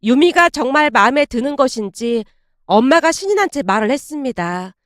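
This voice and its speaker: A woman's voice: high voice, no room sound, clean studio sound. A woman narrating a scripted first-person story.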